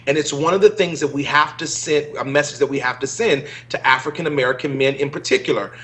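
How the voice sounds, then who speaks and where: A middle-aged man co-hosting a political panel discussion, speaking in a measured, earnest and emphatic way. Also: medium pitch, sharp tone. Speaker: co-host.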